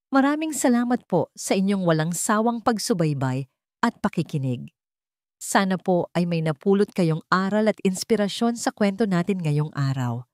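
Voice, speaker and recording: Female narrator for dramatic storytelling: lowish pitch, lively intonation, earnest sentiment, dry close sound. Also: no echo.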